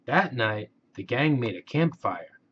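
A man's voice: mid-range voice, animated, unhurried, highs cut off, a little hiss or hum. A man narrating a children's storybook aloud.

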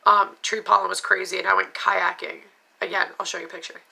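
A congested, nasal woman in her thirties chats straight into her phone in a small room at home. Her voice is bright with a gravelly rasp, and she talks bluntly, wandering off topic and back. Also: medium pitch, little pitch movement, a little fast. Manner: chatty, blunt, drifts off topic. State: congested from allergies. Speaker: vlogger. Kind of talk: casual chat to camera. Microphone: phone camera at arm's length.

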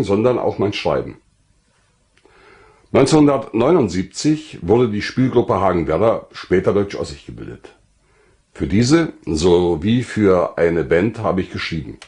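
Low-pitched older man narrating. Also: a little mellow. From Lusatia, eastern Germany. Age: 60s or older. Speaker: writer and former pastor telling his own story. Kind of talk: scripted autobiographical narration.

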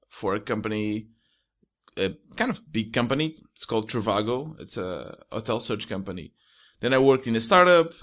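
Young male podcast guest, Portuguese accent, mid-pitched voice, casual conversational delivery, close mic. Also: fairly bright tone. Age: young adult. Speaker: podcast guest, indie entrepreneur. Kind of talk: casual interview chat. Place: small room at home. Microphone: close studio mic with pop filter.

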